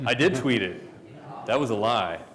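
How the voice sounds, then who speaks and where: A man giving a relaxed, joking conference talk as a product manager, full of fillers. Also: mid-range voice, moderate pace. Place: conference hall. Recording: hall reverb, faint background noise.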